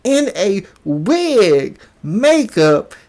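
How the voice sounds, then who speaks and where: Male commentary host, slow-paced and very animated in pitch, mocking, repeats phrases to drive points home. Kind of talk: reaction commentary.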